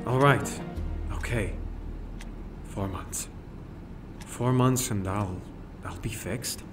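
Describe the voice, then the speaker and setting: Bitter wounded soldier for audio drama, male actor: terse, irritable, unhurried and low-pitched. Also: music in the background. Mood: wary. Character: bitter, guarded wounded young Marine lance corporal.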